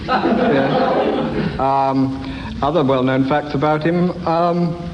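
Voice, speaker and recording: A man improvising comic stories as a panellist, hesitant and deadpan. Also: a little high, drawn-out words. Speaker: comedy panellist. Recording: dull echoey hall, loud crowd and noise. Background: live audience.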